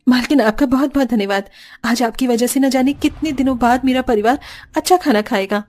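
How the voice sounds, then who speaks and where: A kind, devout young mother from a cartoon tale, acted by a woman. Her voice sits a little high. She talks with humble, earnest politeness. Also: brisk, darkish tone, natural voice. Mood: grateful. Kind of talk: Hindi cartoon story voice acting.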